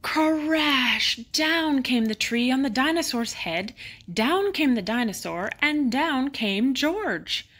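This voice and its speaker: A woman narrating a children's bedtime picture book expressively, with dramatic emphasis on exciting moments. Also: sits high, takes it slowly.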